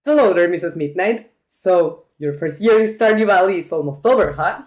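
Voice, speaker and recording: A woman's voice: lowish voice, expressive, normal speed, a little mellow, lightly acted. A woman reading aloud the lines of a friendly male village shopkeeper in a farming game, with upbeat salesman patter. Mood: cheerful.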